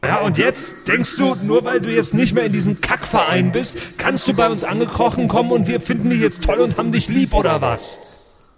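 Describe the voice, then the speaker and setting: Man playing a high-voiced, defiant, sarcastic superhero. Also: normal speed. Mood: sarcastic, bitter. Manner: confrontational, sarcastic. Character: defiant, accusing young superhero Reden, grieving brother.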